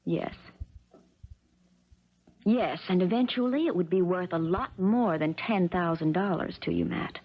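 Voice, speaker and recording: A husky young heiress in a muffled 1970s radio drama. She is spoiled and scheming, coaxing with cool self-assurance in a fairly low voice. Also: unhurried, pauses often. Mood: cold, coaxing. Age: young adult. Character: spoiled, scheming rich young daughter, coldly persuasive. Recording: muffled vintage radio broadcast recording.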